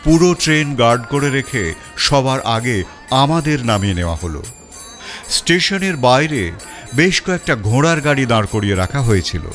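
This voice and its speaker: A male storyteller reads a first-person detective story, with an animated pitch that swings through every sentence. He sits at a middle register with a dramatic, expressive delivery. Faint background music plays behind him. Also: normal speed. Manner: expressive, rising and falling.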